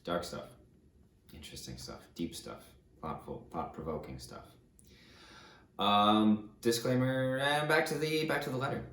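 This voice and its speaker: A man giving an informal aside as a book reader, speaking haltingly in trailing fragments. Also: fairly low pitch, a bit bright, slight background noise. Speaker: narrator reading an audiobook chapter. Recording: roomy, echoey sound.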